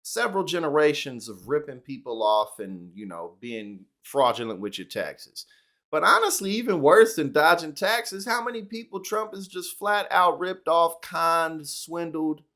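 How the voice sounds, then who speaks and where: A man's voice: fairly high pitch, sing-song, unhurried, sharp tone. A young man hosting casual political commentary, talking in a loose, slangy, mocking way with lots of "listen" and "you know".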